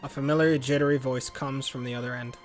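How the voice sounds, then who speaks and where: Even-toned man reading game text aloud. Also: mid-range voice, quick pace, music in the background. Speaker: let's play host. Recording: faint background noise.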